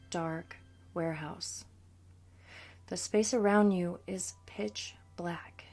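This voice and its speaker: A woman coach narrating a scripted guided meditation in a soothing, deliberate manner. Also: mid-range voice, a little mellow. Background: background music.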